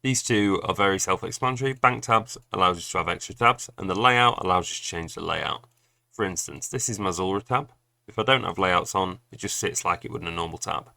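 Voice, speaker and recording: A man's voice: deep voice, fairly quick, creaky, sharp tone, no echo. A young man with a cold, chatty and explaining game plugins in a tutorial voice-over with dry asides. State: has a cold. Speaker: gaming guide creator.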